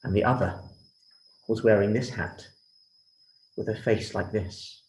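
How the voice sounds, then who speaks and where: A man telling a children's story in a theatrical, deliberate way, speaking straight to his listeners. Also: fairly low pitch, a little mellow. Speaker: rabbi and storyteller. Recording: roomy, echoing sound.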